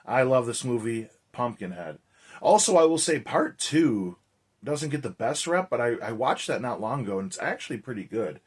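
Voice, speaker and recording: Hoarse, chatty male horror vlogger. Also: medium pitch, moderate pace. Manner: energetic, chatty, loose with fillers. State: hoarse, raspy from yelling. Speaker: horror movie YouTuber. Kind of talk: casual vlog chat.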